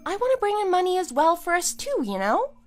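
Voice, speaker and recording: An actress playing a put-upon but persistent middle-aged wife who reasons defensively in a scripted audio drama. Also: female voice, very high-pitched, huge pitch swings, brisk, heavily acted. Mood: exasperated.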